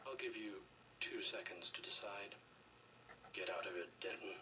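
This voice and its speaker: An actor playing a cold, condescending government official in a video game, speaking in measured, quiet threats. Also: male voice, fairly low pitch, recorded from a distance, noisy background, natural voice. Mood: cold menace. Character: cold, menacing middle-aged male government official interrogating a prisoner.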